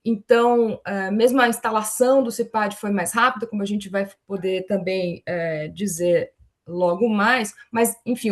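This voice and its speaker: A woman with a Brazilian accent gives an academic presentation as a panelist, at a middling pitch. She explains step by step, often checking in with little confirming tags as she goes. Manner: explanatory, frequently seeking agreement with tags. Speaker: forum panelist.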